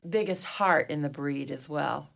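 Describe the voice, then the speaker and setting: A woman's voice: deep voice, a little slow, creaky, heavily acted. A woman playing a dignified, imperious wealthy mistress of an old mansion in a comedy radio play, with a grand, formal, theatrical way of talking. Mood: doting, grand.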